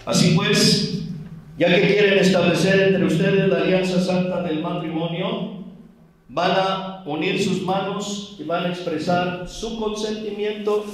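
A man with a Mexican Spanish accent, a priest giving a slow, deliberate wedding homily with gently scolding asides. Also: high-pitched, fairly even intonation. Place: church. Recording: echoey church from far off.

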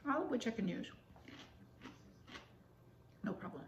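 A woman in her sixties with a raspy voice, chatting casually to the camera at home in a rambling, matter-of-fact way while she snacks. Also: medium pitch, expressive, a little slow. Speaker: vlogger. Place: living room at home. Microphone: camera close up on a table. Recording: roomy home room with faint hiss.

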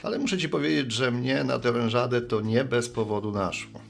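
A man's voice: mid-range voice, brisk, vocal fry, faint music behind, lightly acted. An actor playing a good-natured, sheepish middle-aged man, a postman and bar regular, talking in a folksy, rambling way in a radio play. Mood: nostalgic.

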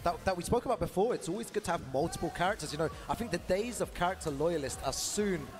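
A man co-commentating a fighting-game tournament, his voice going hoarse, peppering his talk with "you know" and "bro". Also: sits high, expressive, fast. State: losing his voice. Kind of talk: esports color commentary.

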